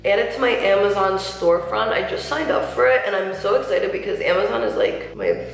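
A woman in her thirties chatting through a makeup tutorial, slightly nasal from a cold, with lots of "like" and soft asides. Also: mid-range voice, very animated, brisk. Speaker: beauty vlogger. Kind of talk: casual makeup tutorial chat. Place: large tiled bathroom, indoors. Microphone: camera mic at close range, seated facing camera. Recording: echoey bathroom with faint music.

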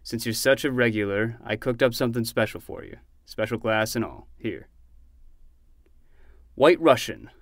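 A man's voice: medium pitch, normal speed, no echo, natural voice. A closely recorded, dry-sounding uncle played by a man in a podcast drama. He is playful and wry, humoring his nephew like a bartender with a regular, and affectionate underneath. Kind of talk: naturalistic fiction podcast acting.

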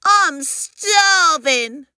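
Lady doing a cheeky baby dino boy for a storybook, high n bright, stubborn, bouncy pitch. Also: lively intonation, very slow, no room sound, full character voice. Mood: excited, insistent. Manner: bold, exclaiming, insistent.

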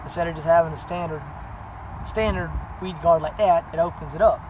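Man in his 30s, casual fishing how-to, rambling fillers, slightly high voice, noisy outdoor camera audio. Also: a little fast. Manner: rambling, many "you know" fillers. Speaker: fishing channel host. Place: outdoors by a pine wood. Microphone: camera close at arm's length.

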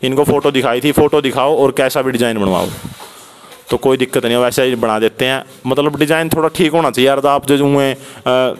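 A man's voice: medium pitch, slight background noise. Chatty young Haryanvi man vlogging. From Haryana, India. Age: young adult. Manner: chatty, repeatedly addresses viewers as brother. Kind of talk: casual vlog commentary. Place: unfinished concrete house, indoors and at doorway. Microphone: handheld phone.

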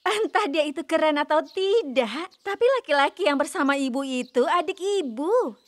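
A woman voicing a gentle, caring young deer who teaches kindergarten, speaking affectionately in a children's cartoon. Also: sits high, expressive, moderate pace, slight background noise. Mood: surprised. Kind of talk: children's cartoon dub in Indonesian.

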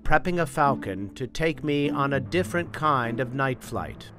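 A man with a medium-pitched voice delivers scripted documentary narration. He tells it in the first person as a reporter. Music plays clearly behind him. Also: lively intonation, moderate pace. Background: clear background music.

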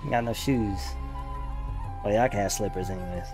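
A young man with a fairly low voice talks casually as a reaction host. His speech is laid-back and full of slang, with music playing behind him. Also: normal speed. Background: game trailer music.

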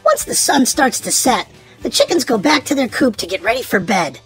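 A high-pitched woman narrates a children's storybook with hugely swooping intonation. Her voice is very husky and breathy. She stresses words in a sing-song, expressive read-aloud style for small kids. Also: normal speed, soft background music.

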